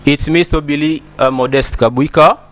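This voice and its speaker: A man's voice: fairly high pitch, fairly even intonation, normal speed, fairly bright tone. Emphatic man teaching English. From Democratic Republic of Congo. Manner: emphatic, repeats words, encouraging. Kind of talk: spoken English lesson to TV viewers.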